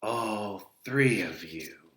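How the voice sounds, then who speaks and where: Cold, elegant ferryman of the dead, put on by a man, silky and condescending, very slow, foreign lilt. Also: lowish voice, expressive, a bit bright, echoey room, full character voice. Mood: suave.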